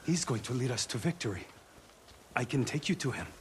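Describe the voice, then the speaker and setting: A man's voice: mid-range voice, expressive, a little fast, a little hiss or hum, natural voice. A man playing a hopeful villager turned resistance fighter in a video game, earnest and a little defensive. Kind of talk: dramatic video game acting.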